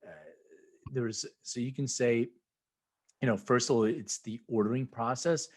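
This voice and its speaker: Relaxed Philadelphia man telling stories. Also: medium pitch, measured pace. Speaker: podcast co-host. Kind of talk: casual podcast chat.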